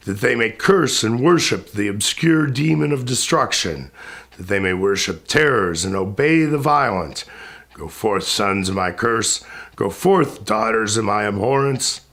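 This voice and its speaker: Guy doing a grand old spirit king in an epic poem, angry and grieving, bossy, creaky voice. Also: lowish voice, fairly bright tone, heavily acted. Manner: grand, declamatory, commanding. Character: wrathful, sorrowing ancient male spirit king. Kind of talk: dramatic epic verse recitation.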